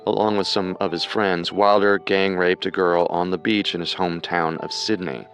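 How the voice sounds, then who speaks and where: A man's voice: low voice, flat delivery, normal speed, fairly bright tone, faint music behind. A man co-hosting a true crime show, reading scripted narration in a dramatic storytelling manner.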